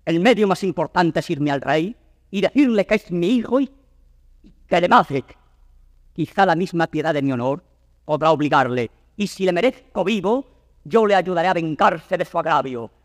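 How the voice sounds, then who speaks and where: Older nobleman played by a male actor, grave and tormented, classical verse declamation, slightly high voice in long reverb. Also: lively intonation, moderate pace, studio quality, lightly acted. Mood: torn, grieving. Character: anguished old nobleman torn between honour and fatherly love.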